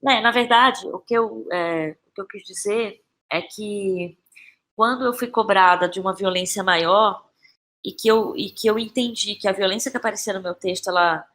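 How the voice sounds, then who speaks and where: A Brazilian woman speaks as a guest in a reflective interview, her voice at a medium pitch. She is thoughtful and halting, often restarting as she searches for the right words. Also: a bit bright. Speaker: guest playwright and actress.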